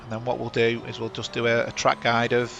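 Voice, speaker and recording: A man's voice: mid-range voice, completely flat delivery, fairly quick, creaky, fairly bright tone, highs cut off. A chatty man hosting a sim racing stream on a headset, talking over the action and correcting himself. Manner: chatty, rambling, self-correcting. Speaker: sim racing broadcast host. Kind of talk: live race commentary.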